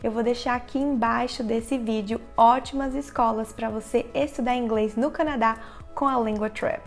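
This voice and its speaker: A woman with a Brazilian Portuguese accent explaining English listening tips directly to the viewer in a clear, instructional vlog narration. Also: fairly high pitch, steady tone, normal speed, faint music behind. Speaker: English teacher, channel host. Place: living in the United States.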